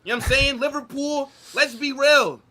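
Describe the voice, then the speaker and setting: A man's voice: very high voice, steady tone, normal speed, over a noisy crowd. A man in casual, opinionated football chat, hosting with slangy banter and emphatic rhetorical questions. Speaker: football show host and streamer.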